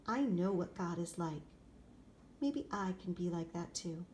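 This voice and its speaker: A woman reading a children's picture book aloud expressively, in short, deliberate phrases. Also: lowish voice, lively intonation, darkish tone. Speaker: storybook reader. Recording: clear background noise, room ambience.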